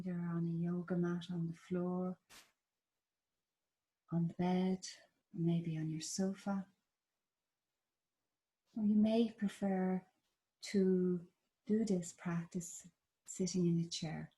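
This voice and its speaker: A woman with an Irish accent leading a guided body scan meditation in a slow, soft, soothing manner. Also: on the low side, poor audio. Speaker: meditation teacher.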